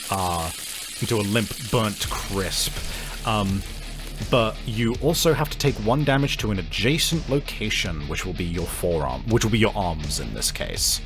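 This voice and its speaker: A man narrating a tabletop game as game master, casually improvising vivid descriptions and swearing now and then. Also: fairly low pitch.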